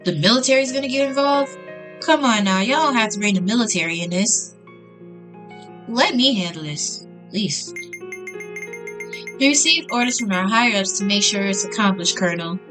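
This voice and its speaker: Female gaming host, mid-pitched, casual, reading story text aloud with clear music underneath. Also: normal speed, long pauses. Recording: music behind the voice.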